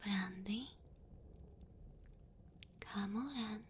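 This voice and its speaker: A female ASMR creator talks to her listeners in a breathy whisper. She is unhurried and sits at a medium pitch, with faint ambience underneath. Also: a little hiss or hum. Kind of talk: whispered ASMR outro, thanking patrons.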